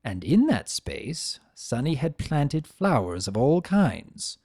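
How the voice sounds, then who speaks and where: A narrator giving a theatrical, expressive dramatic reading of scripted fiction. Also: mid-range voice, takes it slowly, breathy edge, dry recording, clean studio sound.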